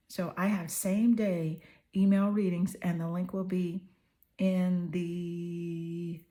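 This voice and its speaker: A woman tarot reader with a hoarse voice, giving an affirming reading straight to her viewers and often checking in with "right?". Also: on the low side, warm tone. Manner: soothing, affirming, repeats "right?".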